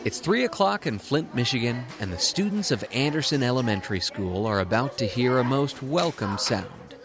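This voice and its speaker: An American man playing the scene-setting narrator of a radio drama, with measured, theatrical phrasing. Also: mid-range voice, lively intonation, a crowd in the background, close to an everyday voice. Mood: storytelling, nostalgic.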